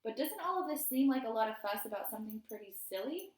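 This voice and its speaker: A woman's voice: fairly high pitch, lively intonation, fast talker, darkish tone, voice pushed up, lightly acted. Woman playing a firm storybook mom, echoey. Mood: challenging. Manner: firm, challenging. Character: outspoken, practical schoolboy's mother. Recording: roomy, echoey indoor sound.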